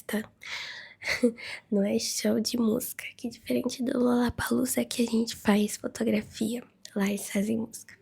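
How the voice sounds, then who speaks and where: A Brazilian woman hosting a podcast, chatting casually and giggling as if sending a voice message, hoarse and nasal from a flu so her voice keeps dropping to a whisper. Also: mid-range voice, darkish tone. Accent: Brazilian Portuguese. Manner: chatty, giggly, talks straight to listeners. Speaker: podcast host.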